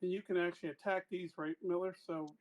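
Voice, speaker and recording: A man's voice: high-pitched, flat delivery, fast, rough recording. Man casually playing a tabletop game.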